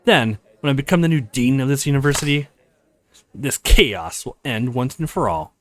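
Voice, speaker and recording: A man's voice: medium pitch, animated, moderate pace, a bit bright, lightly acted. A man voicing a stern, haughty woman professor in a visual novel, with an imperious, scolding manner. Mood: indignant, scolding. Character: stern, haughty female professor, furious at troublemakers.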